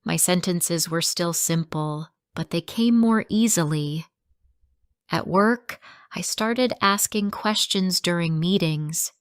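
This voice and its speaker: A woman reading a simple first-person story for English learners, in slow, clearly spoken sentences. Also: lowish voice, dry recording, studio quality.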